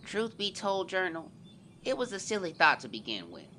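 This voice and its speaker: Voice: medium pitch, very animated, moderate pace, bright, forceful tone, a little hiss or hum. An actor voicing a young boy who earnestly narrates events into his journal in a scripted audio drama. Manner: earnest, confiding, storytelling.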